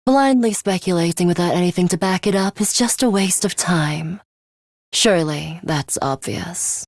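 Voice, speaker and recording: A woman's voice: lowish voice, normal speed, no room sound, clean studio sound. A woman voicing an aloof, scornful young female fighter with a sadistic streak in a video game, curt and condescending in manner. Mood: cold, scornful. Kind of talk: English video game voice acting.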